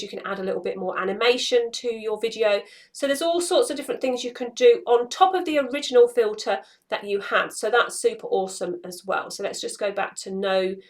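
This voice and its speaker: A woman around her forties hosting a casual instructional walkthrough, explaining things step by step. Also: on the high side. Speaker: social media tutorial host. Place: small indoor room.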